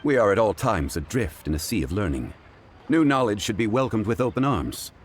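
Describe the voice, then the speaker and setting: A man voicing a composed, modest, erudite gentleman in a video game, formal, measured and courteous. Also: lowish voice, expressive, a little hiss or hum, close to an everyday voice. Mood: calm, amused. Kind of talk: video game dialogue acting.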